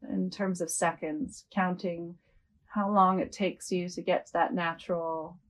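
A woman leading a guided yoga nidra meditation as a host, speaking gently and without hurry, with long pauses. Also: lowish voice, little pitch movement.

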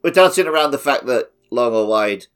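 Mid-pitched man joking in casual chat. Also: lively intonation, brisk, clear, resonant tone. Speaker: podcast co-host. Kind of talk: casual gaming podcast chat.

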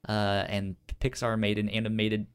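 A man co-hosting a podcast in casual chat, halting and often repeating the first sounds of words. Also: on the low side, completely flat delivery, smooth. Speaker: podcast co-host.

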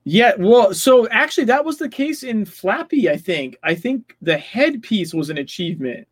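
A man with a fairly high-pitched voice talks through game details in a casual way. He explains as he goes and adds small hedging asides. The sound is very dry, with no room echo. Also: very animated, normal speed. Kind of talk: casual gaming discussion.

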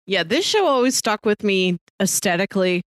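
A woman's voice: mid-range voice, very animated, moderate pace, no room sound, studio quality. A woman co-hosting a podcast, talking casually in a conversational review and recap style. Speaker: podcast co-host.

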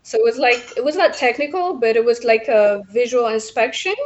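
A woman's voice: on the high side, brisk. Woman from ethiopia, young adult, hesitant speech full of fillers, slightly dull recording. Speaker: civil engineer guest. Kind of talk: radio interview answers.

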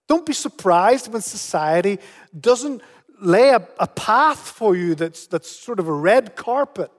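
High-voiced man preaching, hall echo. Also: expressive, measured pace. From Spain. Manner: earnest, repeats words when starting a phrase. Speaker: pastor. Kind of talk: sermon. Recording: long hall reverb.

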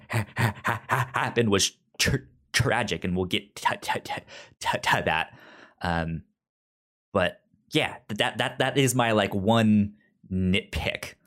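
A man's voice: on the low side, a bit bright. A man co-hosting a film review podcast over a video call, talking casually with many stammered restarts. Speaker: podcast co-host. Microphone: remote video call.

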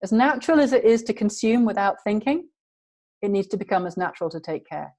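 A woman being interviewed, talking earnestly and slowing to stress key words. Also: mid-range voice, animated, mellow. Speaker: guest. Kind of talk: reflective interview talk.

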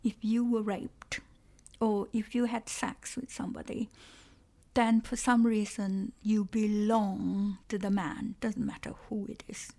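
Slow, gravelly woman, Cantonese-native speaker. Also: medium pitch, little pitch movement, warm tone. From Malaysia. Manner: thoughtful, halting, repeats words. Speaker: interviewer. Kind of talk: conversational interview.

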